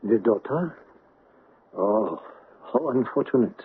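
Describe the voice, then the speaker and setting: An actor playing a sage, measured confidant in a radio drama, formal and philosophical in manner. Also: male voice, mid-range voice, lively intonation, husky, narrow, tinny audio, heavily acted. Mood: calm, probing. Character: sage, measured male confidant named Chung Lee. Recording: band-limited old radio recording.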